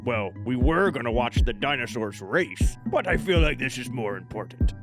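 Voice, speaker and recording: A man performs a socially awkward, blunt adventurer in a tabletop role-play. His pitch moves around a lot as he speaks offhandedly. Music plays clearly underneath. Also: animated, normal speed, close to an everyday voice. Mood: offhand. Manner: offhand, fumbling for words. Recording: music behind the voice.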